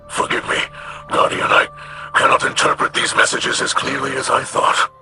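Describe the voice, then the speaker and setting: A man voicing a wise, reverent alien ally in a video game, measured, hushed and earnest, pausing between phrases. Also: a little high, natural voice.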